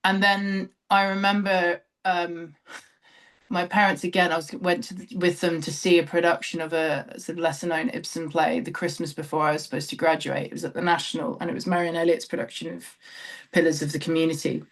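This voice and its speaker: A woman's voice: fairly low pitch, level tone, a little fast. A woman in her thirties from London with a southern English accent, talking reflectively and self-deprecatingly as an interview guest, thinking aloud as she recalls her experiences. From London, England. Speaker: interview guest, theatre director. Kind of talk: conversational interview, personal recollection.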